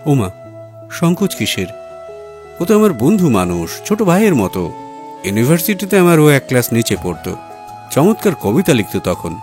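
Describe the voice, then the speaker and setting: A man acts out a self-assured businessman husband in an audiobook story. He talks breezily and boastfully, mixing in English words, with pitch that swings widely. Music runs behind him. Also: very animated, moderate pace, pauses often, darkish tone, lightly acted. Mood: coaxing, upbeat.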